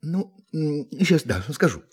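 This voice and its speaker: An actor in a radio play voicing a polite, whimsical, impulsive alien tourist who speaks in a hesitant, musing way. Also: male voice, a little high, sing-song, unhurried, breathy edge, full character voice. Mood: hesitant, playful.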